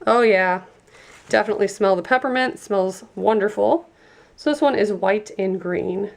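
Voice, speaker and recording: Female reviewer, congested and stuffy, friendly enthusiastic unboxing delivery, some gravel in the voice. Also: medium pitch, normal speed. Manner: chatty and enthusiastic. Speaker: product reviewer.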